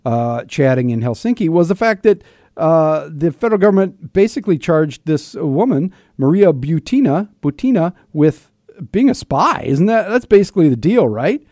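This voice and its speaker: A male radio host with a mellow, relaxed voice, recorded close and dry. He talks casually about politics in a chummy, wry manner, often halting as he feels his way through a question. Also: fairly high pitch, no room sound. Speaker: radio host interviewing a guest. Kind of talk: casual political talk interview.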